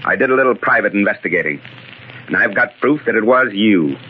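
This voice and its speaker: Man acting a lowish, tinny-radio sardonic prosecutor. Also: expressive, close to an everyday voice. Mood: confident, threatening. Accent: American. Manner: measured, sardonic, self-assured. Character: confident, needling amateur investigator, young prosecuting attorney. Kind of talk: 1940s radio crime drama acting. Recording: tinny, noisy old radio broadcast recording.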